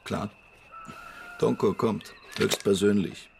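An actor playing an easygoing junior police constable in a radio drama, deferential and casual in manner. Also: male voice, fairly low pitch, steady tone, slow pace, gravelly, slight background noise. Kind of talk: radio drama acting, conversational.

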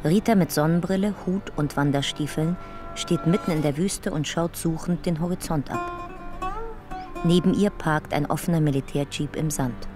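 Actress as detached female caption reader, clipped scripted narration, loud plucked guitar behind. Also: sits low, normal speed, natural voice. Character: factual reader of photo captions. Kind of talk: scripted narration in radio drama.

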